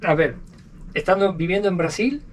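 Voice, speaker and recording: A man with an Argentine accent telling stories as a podcast guest, talking in a halting way and repeating words. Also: high-pitched, expressive, distant mic, heavy background noise. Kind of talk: casual storytelling interview. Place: aboard a sailboat.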